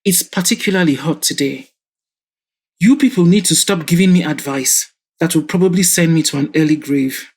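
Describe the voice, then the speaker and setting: A woman's voice: low-pitched, moderate pace, darkish tone, husky. A woman voicing a stubborn, irritable older father who grumbles sarcastically and flares into anger. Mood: grumbling.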